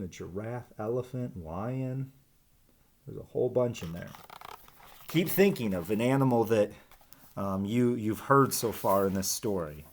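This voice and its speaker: A man teaching young children, reading a picture book expressively and chatting informally with his listeners. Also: lowish voice. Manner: expressive rhyming delivery, chatty asides addressing children. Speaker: elementary school teacher reading aloud.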